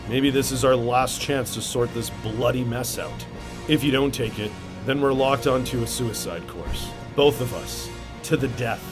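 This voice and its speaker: A man playing a grim, resolute masked vigilante hero in a comic-book drama, speaking in a stern, measured and earnest way. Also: mid-range voice, close to an everyday voice. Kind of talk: comic-book motion comic acting.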